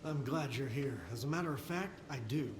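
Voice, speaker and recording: A man's voice: mid-range voice, fairly quick, echoey room, background noise, natural voice. An actor playing a wry, chatty male technician in a post-apocalyptic video game. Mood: uneasy.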